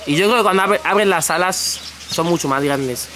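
A man's voice: high-pitched, moderate pace, sharp tone, a little hiss or hum. Chatty young man vlogging on the go. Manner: chatty, upbeat, addresses viewers as family. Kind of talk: casual travel vlog narration to camera. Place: outdoors at a beach resort and a busy market. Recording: music bed underneath.

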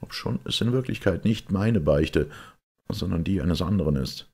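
Man acting an intense, gravelly young secretary. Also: low voice, lively intonation, normal speed, dark tone, pitched above the performer's own voice, full character voice. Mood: confiding. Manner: urgent, emphatic. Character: young male secretary, bitter, impatient, intense.

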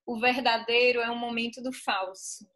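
A woman's voice: a little high, fairly even intonation, normal speed, a bit bright. A woman professor joining an online lecture over a video call, backing up the speaker and restarting her sentences as she thinks. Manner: backchannels with "uhum", hesitant restarts. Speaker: professor co-hosting.

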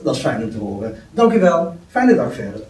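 Man reading a polite, mellow bank clerk, lively pitch. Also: fairly quick, lightly acted. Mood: courteous, businesslike. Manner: courteous, formal. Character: polite, reserved male bank office worker. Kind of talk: script table read. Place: meeting room, indoors. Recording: roomy, distant mic, faint noise.

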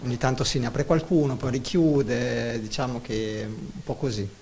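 Young shopkeeper, hesitant, mid voice, faint hiss. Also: male voice, medium pitch, fairly even intonation, normal speed, darkish tone. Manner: hesitant, tags with ecco. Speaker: shopkeeper's son. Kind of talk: interview answer. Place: small shop. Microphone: handheld interview mic.

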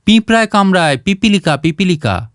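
Male narrator as storybook villager: earnest, deferential, rustic, slightly high lively voice. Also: fairly quick, dry recording, studio quality. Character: simple, earnest village man, patient's talkative companion.

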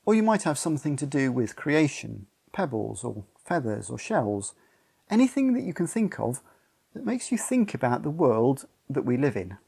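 A man's voice: mid-range voice, very animated, normal speed, creaky, mellow, slightly rough. An older man leading a church service for people at home, explaining simply and giving instructions to families. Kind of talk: instructional talk to a home congregation. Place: living room at home, indoors.

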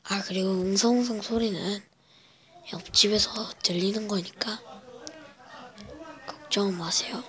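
A woman vlogger whispering a spooky personal story at home in a slow, hesitant way, with many fillers. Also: mid-range voice, fairly even intonation, fairly bright tone. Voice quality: breathy whisper. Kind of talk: whispered casual storytelling to camera. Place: home, indoors.

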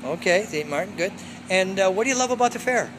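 A man interviewing fair visitors with brisk, brief prompting questions while holding the phone camera. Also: high-pitched, expressive. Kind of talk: quick vox-pop questions. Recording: noisy fairground.

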